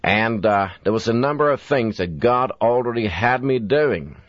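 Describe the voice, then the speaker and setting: Older preacher, slow and earnest, kinda muffled dry old tape sound. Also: male voice, medium pitch, expressive, dry recording. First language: Swedish. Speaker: evangelist preacher. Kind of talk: recorded sermon. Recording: dull lo-fi dry recording.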